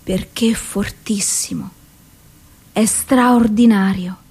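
A woman acting an anguished, mentally ill, lovelorn woman in a theatrical dramatic monologue, with an intense, deliberate delivery. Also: mid-range voice, fairly even intonation, darkish tone, slightly rough. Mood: withdrawn, defiant.

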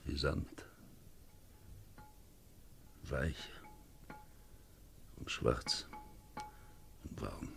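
Sinister ship's captain, extremely low voice, heavy gravelly creak, terse commands, 1950s radio drama, music underneath. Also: male voice, lively intonation, drawn-out words, pauses often, heavily acted. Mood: hushed, uneasy. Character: grim, sinister ship's captain with a grown son.

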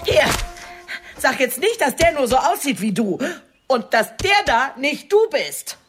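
An actress playing a hot-tempered, foul-mouthed, bossy countrywoman in a German radio drama, blunt and commanding with a rough voice. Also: sits high, very animated, unhurried, faint music behind. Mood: angry, threatening.

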